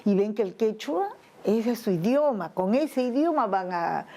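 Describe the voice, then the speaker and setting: A woman's voice: medium pitch, expressive, measured pace, dark tone, slight background noise. A woman who teaches Quechua, answering interview questions in a reflective, explanatory way with hesitant restarts. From Peru. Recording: light music underneath.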